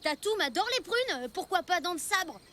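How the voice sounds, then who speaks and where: A woman's voice: very high voice, lively intonation, moderate pace, a little hiss or hum, heavily acted. A woman voicing an impulsive, good-hearted young cave boy in a French children's cartoon. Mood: worried. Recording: loud music behind the voice.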